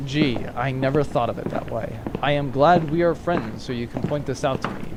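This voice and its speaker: Actor as exasperated pirate quartermaster, dry, sarcastic, tired, low-key, audio comedy. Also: normal speed, very noisy, lightly acted. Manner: dry, weary, sardonic.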